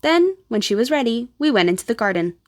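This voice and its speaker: A woman's voice: on the high side, sing-song, fast talker. A woman voicing a genteel, plain-spoken elderly grandmother in a storybook, telling her memories in a composed, old-fashioned way. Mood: reminiscing.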